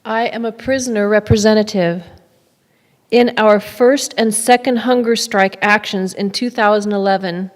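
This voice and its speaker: A woman's voice: medium pitch, close to an everyday voice. A middle-aged woman playing a prisoner representative, giving a factual account from a script in readers theater. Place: large temple hall, at a lectern. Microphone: microphone on a stand at lectern. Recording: reverberant hall recording.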